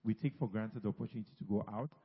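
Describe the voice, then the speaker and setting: A man's voice: lowish voice, racing, breathy edge, in a large hall, lo-fi sound. A man leading a congregation, urging them with repeated phrases and teasing individuals by name. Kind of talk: sermon exhortation.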